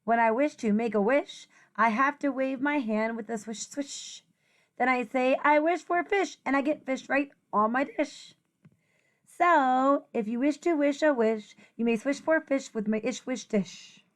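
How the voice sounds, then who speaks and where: A woman playing a whimsical storybook creature who proudly explains itself in deliberate rhyme. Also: high voice. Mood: proud, playful.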